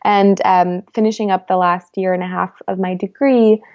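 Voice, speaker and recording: A young woman in her twenties, a guest in an interview, talking thoughtfully with many pauses, fillers and restarts. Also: mid-range voice, fairly even intonation, lo-fi sound. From United States. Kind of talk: reflective conversational interview.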